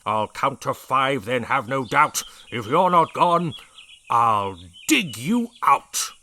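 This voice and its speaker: A man's voice: mid-range voice, expressive, slow pace, bright, forceful tone, a little hiss or hum. A man voicing a blustering, hot-tempered old male dinosaur brigadier who bellows and roars his orders. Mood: bellowing angry. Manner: bellowing, roaring.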